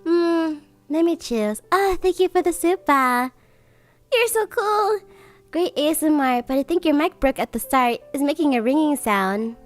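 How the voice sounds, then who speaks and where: A woman's voice: high voice, expressive, unhurried, soft background music. A woman livestreamer with a cutesy, gushing way of talking, chatting to viewers and reading bedtime stories. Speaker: streamer, host.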